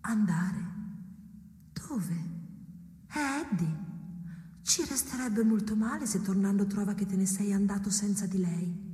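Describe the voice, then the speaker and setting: An actress playing a brooding, nagging wife in a radio drama. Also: female voice, mid-range voice, measured pace, pauses often, mellow, natural voice. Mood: wistful. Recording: noisy, echoey, long reverb.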